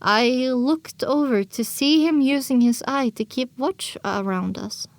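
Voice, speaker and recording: A woman with a fairly high voice narrates an audio drama in the first person. She delivers scripted story prose as the narrator. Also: a little slow, no room sound.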